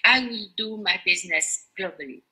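French-accented older lady on a video call, bright voice, lots of uh's. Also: medium pitch, moderate pace, poor audio. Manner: hesitant, many fillers. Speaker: guest business owner. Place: indoor room.

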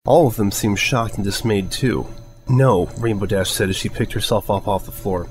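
A man's voice: lowish voice, steady tone, a little fast, faint music behind. A man narrating a scripted piece of fan fiction as a storyteller.

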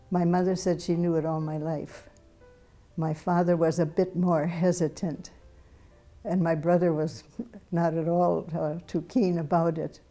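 A woman in her eighties, a retired nun, speaking gently and reflectively in a documentary interview indoors. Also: lowish voice. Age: 80s. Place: indoor room with bookshelves.

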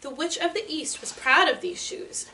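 A woman's voice: sits high, moderate pace, bright, forceful tone, pitched above the performer's own voice. A woman reading as small solemn Munchkin men. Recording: slightly distant mic, faint hiss.

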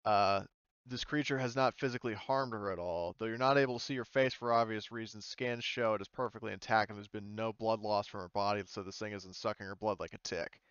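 A man acts as game master in a casual, hesitant way, feeling for the right words. His voice is smooth and sits at a middle pitch. The recording is dry and a little muffled. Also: a bit bright, no echo. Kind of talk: casual tabletop roleplaying narration and chat. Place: voice chat in an online game session.

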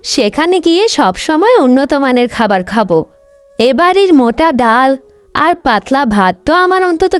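A woman voicing a proud young bride, the elder sister in a cartoon, with a dramatic, expressive delivery. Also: high-pitched, no echo. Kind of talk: Bengali cartoon voice acting.

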